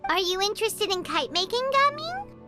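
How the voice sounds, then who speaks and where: A woman's voice: very high voice, very animated, fairly quick, over music. A woman voicing a small, perky, childlike fairy companion in a video game, speaking in exclamations. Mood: chirpy, curious. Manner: exclamatory, refers to self in third person.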